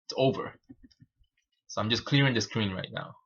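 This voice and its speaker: A man whose native language is Spanish, hosting a programming livestream and thinking aloud in an informal, halting way as he explains his code. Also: medium pitch, a bit bright, husky, muffled recording. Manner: halting, informal, self-narrating. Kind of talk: live coding tutorial, thinking aloud.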